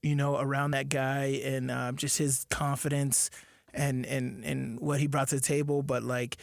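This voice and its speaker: A man's voice: mid-range voice, flat delivery, a little slow, vocal fry, no room sound, studio quality. A man who played football, chatting casually about players and rambling with many fillers. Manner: rambling, lots of fillers and restarts. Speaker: former football player, co-host. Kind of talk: casual sports chat.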